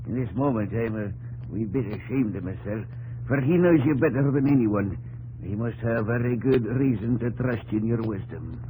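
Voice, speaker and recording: An actor playing a proud, hot-tempered gentleman in 1950s radio drama, declamatory and old-fashioned in his phrasing. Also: medium pitch, normal speed, lightly acted. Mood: contrite. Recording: noisy, humming old radio broadcast.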